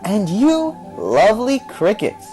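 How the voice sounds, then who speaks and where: Man putting on a high, dreamy orphan girl. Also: huge pitch swings, takes it slowly, over music, exaggerated voice. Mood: proud, sweet.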